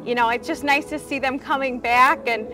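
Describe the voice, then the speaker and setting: A woman's voice: sits high, fairly even intonation, normal speed, over music, rough recording. A young woman from northeastern Wisconsin, a community theater actress chatting casually in an interview, often laughing and trailing off.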